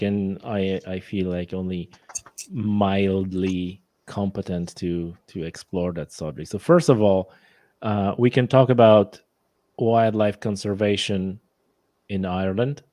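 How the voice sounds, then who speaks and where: A man's voice: low-pitched, fairly even intonation, a little slow, a little mellow, no echo. A man from Poland with a Polish accent, a guest talking casually and hesitantly, often restarting his phrases. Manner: hesitant, restarts phrases, non-native grammar. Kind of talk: casual conversation.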